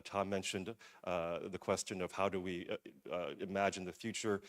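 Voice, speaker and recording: A man giving a conference presentation, hesitant, with many fillers and restarts. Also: low-pitched. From United States. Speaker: museum director presenting at a forum.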